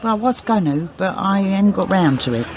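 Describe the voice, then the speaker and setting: A woman's voice: medium pitch, expressive, moderate pace, a little mellow, lightly acted. An actress playing a defiant, shifty older market stall woman, in a radio drama. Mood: defensive. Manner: defensive, truculent, grudging. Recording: noisy ambience behind the voice.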